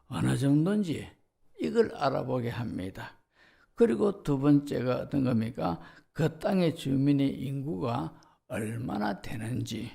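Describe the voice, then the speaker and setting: A male pastor with a gravelly voice and a Gyeongsang Korean accent, preaching in a didactic way and putting rhetorical questions to his listeners. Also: mid-range voice, mellow. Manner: didactic, enumerating, checks in with listeners. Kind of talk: Sunday sermon, expository with rhetorical questions.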